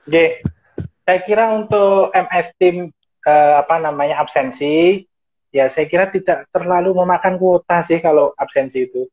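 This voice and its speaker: The audio is dull and lo-fi, as on an internet call. A man speaks in a somewhat high voice. He explains things informally, as a guest would. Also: normal speed. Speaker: guest, university tech specialist. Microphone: online video call.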